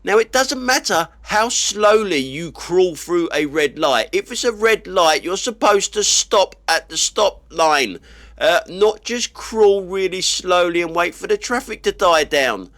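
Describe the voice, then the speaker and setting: A man's voice: high-pitched, lively intonation, unhurried, a bit bright. Blunt British man with a head cold. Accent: British English. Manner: blunt and grumbling, swears casually. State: stuffy nose from a cold. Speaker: dash cam vlogger. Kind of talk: casual driving commentary. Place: inside his car while driving.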